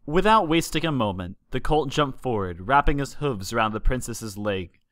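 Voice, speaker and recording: A man narrates written fan fiction as a story reader. His pitch sits in the middle of the male range. The recording is dry, with almost no room sound. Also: animated, moderate pace, fairly bright tone, dry recording.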